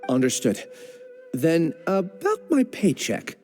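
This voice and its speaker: Lively, higher-pitched earnest young researcher. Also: male voice, takes it slowly, soft background music, heavily acted. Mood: polite. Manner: polite, earnest. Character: earnest, apologetic young male junior researcher. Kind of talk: anime game dialogue acting.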